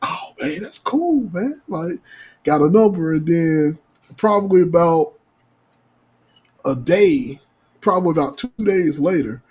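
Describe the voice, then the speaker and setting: A man with a husky voice telling a casual podcast story in slangy, profane talk, acting out the dialogue. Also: a little high, lively intonation, measured pace, pauses often, darkish tone. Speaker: podcast co-host.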